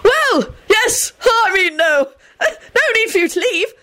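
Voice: very high-pitched, animated, slow pace, pushed and bright, voice pushed up, heavily acted. A storybook reader voicing a pompous, portly little male minister who babbles in flustered, falsely jovial panic. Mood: nervous, falsely jovial.

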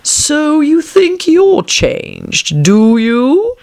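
Grumpy storybook caterpillar, super slow drawl, swoopy high pitch, kinda curt n contradicting. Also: female voice, wildly swooping pitch, darkish tone, exaggerated voice. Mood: stern, contemptuous. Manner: languid drawl, stern and contradicting. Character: slow, curt, irritable caterpillar smoking a hookah.

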